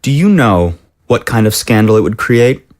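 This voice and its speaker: A man's voice: on the low side, big pitch swings, moderate pace, a little mellow. A man playing a cautious, dismissive mayor in an audio drama, speaking in a formal, wordy and officious way. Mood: pressing, skeptical. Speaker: voice actor. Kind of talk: scripted audio drama acting.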